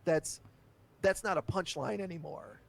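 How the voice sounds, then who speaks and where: A chatty podcast guest, a man with a gravelly, crackling edge to his voice that sits a bit higher than most. He talks candidly and loosely, often laughing as he goes. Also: unhurried. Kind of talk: casual interview chat.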